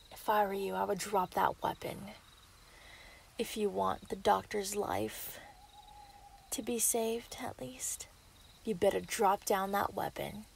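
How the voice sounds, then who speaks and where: A woman playing a possessive, mocking yandere werewolf in an ASMR roleplay, taunting and gloating with drawn-out threats. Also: medium pitch, gravelly, darkish tone, slight background noise. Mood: smug, threatening. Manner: taunting, drawn-out, laughing delivery with whispered threats. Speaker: roleplay voice actor. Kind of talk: scripted ASMR roleplay acting.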